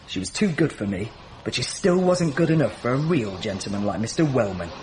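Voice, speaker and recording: An actor playing a simple, earnest, wounded young village mechanic in a radio drama, speaking plainly and haltingly. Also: male voice, mid-range voice, animated, a little mellow, slight background noise, natural voice. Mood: hurt.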